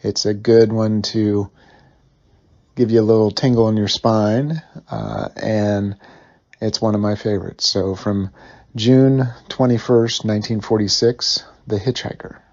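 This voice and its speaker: A man with a fairly low voice introduces an episode as host. He talks casually and a little haltingly, in an informal way. The recording is slightly dull. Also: level tone, darkish tone. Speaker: podcast host.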